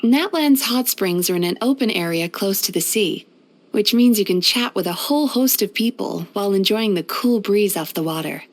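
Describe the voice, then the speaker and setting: A woman voicing a gentle, caring, centuries-old dream-eating spirit who works as a therapist in a video game, speaking in a soothing, polite way. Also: medium pitch, lively intonation, moderate pace. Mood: chatty, thoughtful. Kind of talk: video game character voice lines.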